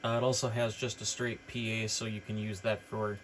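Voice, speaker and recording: A man's voice: on the low side, flat delivery, brisk, fairly bright tone. A man giving an informal, unscripted product walkthrough as a hobbyist presenter, explaining features in a hesitant way. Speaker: hobbyist presenter demonstrating radio gear. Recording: clearly noisy background.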